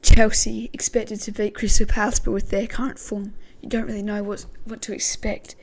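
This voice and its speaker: A woman hosting a casual football podcast, her voice croaky, thinking aloud with many hesitations. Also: medium pitch, little pitch movement, moderate pace, darkish tone. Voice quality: gravelly. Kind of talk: casual pre-match football talk.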